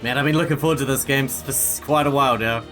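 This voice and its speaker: A man comments casually as he plays a video game. His voice sits at an average pitch, with faint game music underneath. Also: steady tone, racing, sharp tone. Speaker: gaming video host. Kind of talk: casual let's play commentary. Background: video game music and dialogue.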